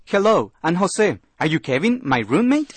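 A man playing a friendly young male college student from Mexico in a scripted lesson dialogue, with a husky voice and a chatty manner. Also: sits high, very animated, normal speed, no room sound, heavily acted. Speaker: dialogue actor.

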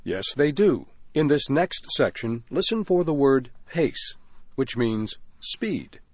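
Male teacher-host: clear, patient, lively in pitch. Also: slow, no room sound. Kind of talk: scripted radio language lesson.

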